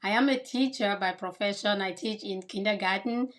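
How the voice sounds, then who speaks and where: A woman's voice: mid-range voice, moderate pace. A woman from Cameroon, a kindergarten teacher, answering interview questions in an earnest, explanatory way.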